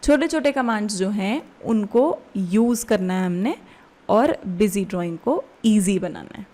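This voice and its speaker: A woman with an Indian accent giving a step-by-step software tutorial as an instructor, explaining casually and often checking in with questions. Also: medium pitch, lively intonation, measured pace.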